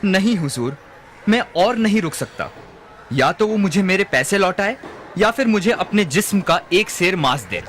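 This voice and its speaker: A man acts out a greedy, scheming moneylender for a cartoon moral tale. His voice is high and very animated, stubborn and insistent while fawning to authority. Light music plays underneath. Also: moderate pace. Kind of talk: animated moral story acting in Hindi. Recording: music bed behind the voice.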